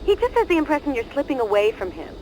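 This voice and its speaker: Game role: gossipy young female friend, very high voice, swooping expressively, needling and gushy. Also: very animated, fast talker, background noise. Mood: confiding. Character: chatty, teasing, gossipy young woman, heroine's best friend. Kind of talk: video game voice acting, phone conversation.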